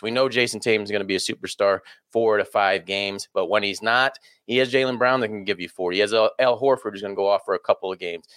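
Male sports analyst, lower voice, steady and self-assured, dry studio mic. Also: no room sound. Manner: confident, steady, reasoned with fillers. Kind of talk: casual analysis on a show.

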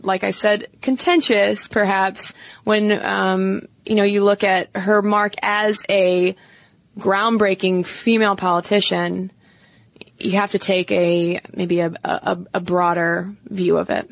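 A woman's voice: medium pitch, level tone, slow pace, vocal fry, dry recording. A woman co-hosting a podcast in casual chat, thoughtful and hedging with fillers as she talks. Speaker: podcast co-host.

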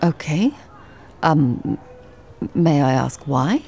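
A proud, cultured woman of the 1800s in an audio drama. Her voice sits fairly low, and she speaks with sardonic, theatrical haughtiness and a sharp tongue. Music plays clearly behind her. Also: expressive, slow pace, heavily acted. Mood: guarded, hurt. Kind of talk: audio drama acting with confessional narration.

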